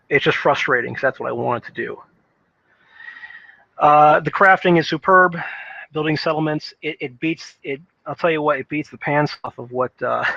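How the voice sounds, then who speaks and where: Man in dull, dry lo-fi audio, halting delivery. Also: mid-range voice, no echo. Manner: halting, thinking aloud with repeated words. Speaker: gaming commentator. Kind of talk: unscripted opinion monologue on video games.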